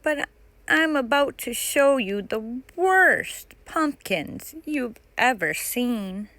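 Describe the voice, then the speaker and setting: A woman's voice: a little high, animated, takes it slowly, fairly bright tone, no room sound, exaggerated voice. A woman voicing an anxious, earnest young fairy in a children's storybook. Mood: apologetic. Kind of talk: storybook character voice in a read-aloud.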